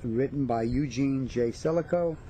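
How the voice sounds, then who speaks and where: A man's voice: medium pitch, normal speed, warm tone, dull audio, a little hiss or hum. A man, an actor chatting casually as an interview guest. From New York/New Jersey area.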